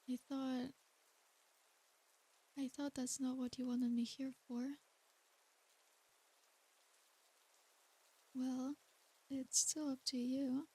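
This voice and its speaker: A woman playing an ancient, tender and seductive succubus demon in a soft ASMR bedtime roleplay. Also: high-pitched, heavily acted, low-quality recording. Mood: tender, teasing. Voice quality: soft, breathy. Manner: slow, intimate, soothing bedtime delivery.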